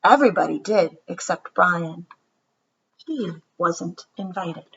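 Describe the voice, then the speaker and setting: A woman reading a picture book aloud to young children in an explanatory, expressive teacher's style. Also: on the low side, takes it slowly, breathy edge, highs cut off. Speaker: read-aloud host, teacher.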